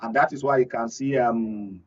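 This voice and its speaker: A man's voice: mid-range voice, fairly even intonation, normal speed. A man with a Nigerian accent speaking as a guest analyst in a political panel discussion, explaining at length and repeating phrases for emphasis. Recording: dull, distant studio sound.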